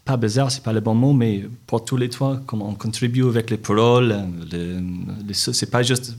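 A man from Ireland with an Irish accent, a band member answering interview questions in hesitant, halting French full of fillers. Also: mid-range voice, steady tone, a little mellow. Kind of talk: radio interview.

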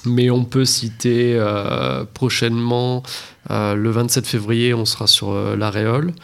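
A man's voice: medium pitch, flat delivery, measured pace, creaky, smooth. A man from a band answering interview questions in a matter-of-fact way, listing upcoming gigs. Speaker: band member. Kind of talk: radio interview.

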